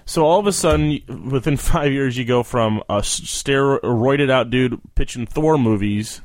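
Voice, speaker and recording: A man's voice: mid-range voice, unhurried, no echo. A man in his twenties hosting a casual conversational interview, bantering and poking fun at himself as he interjects. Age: 20s. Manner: bantering, self-deprecating, interjecting. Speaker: podcast host.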